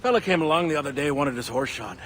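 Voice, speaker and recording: An actor playing a folksy, evasive colonial blacksmith in a video game, talking in clipped colloquial phrases. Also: male voice, fairly high pitch, expressive, quick pace, a bit bright, slight background noise. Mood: sheepish, evasive. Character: folksy, evasive working-class colonial blacksmith, cheerfully stalling. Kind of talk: dramatic video game acting.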